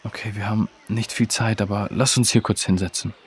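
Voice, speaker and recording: A man playing a kind, protective young friend, speaking gently and sincerely in a children's audio drama. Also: lowish voice, little pitch movement, a little fast, natural voice. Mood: sympathetic.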